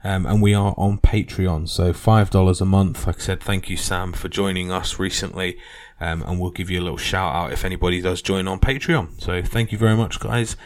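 A man's voice: low voice, fairly even intonation, a little fast. A man hosting a history podcast, talking casually and earnestly with plenty of fillers and restarts. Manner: rambling, earnest, many fillers.

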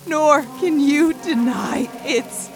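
Ominous archaic oracle woman acting, high and slow, solemn incantation for audio horror. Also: music in the background. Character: ominous prophetic voice of dread, archaic and commanding. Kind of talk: audio horror drama monologue.

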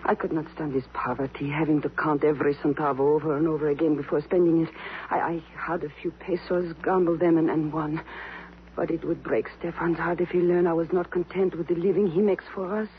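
Tinny old-radio actress as an anxious young wife. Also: female voice, low voice, flat delivery, brisk, natural voice. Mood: pleading. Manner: formal, imploring, theatrical. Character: anxious, pleading young wife of a poor engraver. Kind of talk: 1950s radio drama acting. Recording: tinny, faintly noisy old radio recording.

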